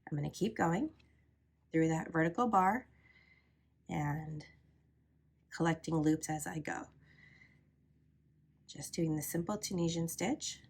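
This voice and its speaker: A low-pitched woman with a gravelly, husky voice, stuffed up from a cold. She explains each step at a calm, steady pace and sometimes drops to a soft near-whisper. Her tone is patient and instructional. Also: slow pace, long pauses. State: congested from a cold. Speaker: crochet teacher. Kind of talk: step-by-step craft tutorial.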